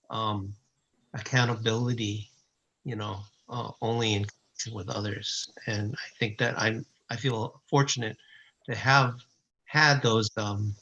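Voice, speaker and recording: A man on an arts panel giving advice, reflective and pausing often with uhs and ums. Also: mid-range voice, a bit bright, highs cut off. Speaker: artist panelist. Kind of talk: panel answer.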